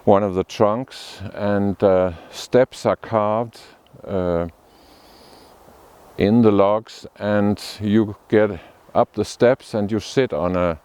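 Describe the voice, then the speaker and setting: A man from Denmark, a sculptor, speaking in a documentary interview with thoughtful, unhurried restarts. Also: fairly low pitch, fairly even intonation, darkish tone, smooth. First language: Danish.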